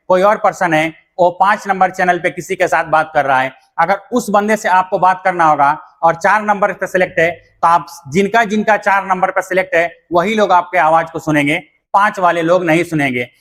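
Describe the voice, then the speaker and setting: High-voiced man giving a tutorial. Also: a bit bright. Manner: step-by-step, instructive, repeats points plainly. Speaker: instructor and channel host. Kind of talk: explanatory tutorial addressed to viewers.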